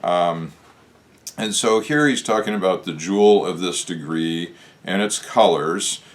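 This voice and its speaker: A man with a hoarse voice, talking in a casual, reflective way as a show host, pausing often with "uh" as he thinks aloud. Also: deep voice. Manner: musing, unhurried, with frequent "uh" pauses. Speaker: channel host discussing Masonic lore.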